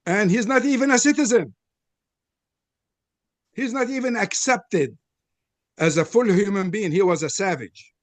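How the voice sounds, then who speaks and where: A man's voice: sits high, animated, a little slow, long pauses, dry recording. An older man from Lebanon with a Lebanese accent, Arabic his first language, giving a frank, personal opening statement at an interfaith panel. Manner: earnest, frank, personal storytelling. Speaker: panel presenter.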